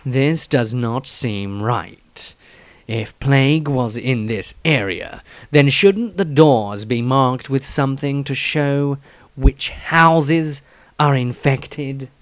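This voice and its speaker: A man voicing a brooding, uneasy man with secrets, reading his diary gravely and haltingly. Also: mid-range voice, dry recording, natural voice.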